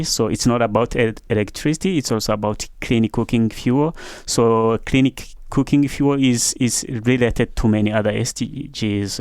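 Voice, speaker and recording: A man's voice: lowish voice, vocal fry, a little mellow, no echo. A man who is a graduate student, answering interview questions hesitantly with many fillers.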